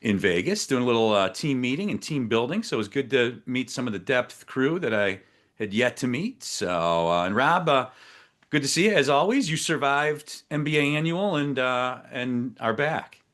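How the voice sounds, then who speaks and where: A man's voice: mid-range voice, animated, normal speed, a bit bright. A man hosting an interview show, upbeat and friendly, with plenty of "uh" fillers. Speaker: show host.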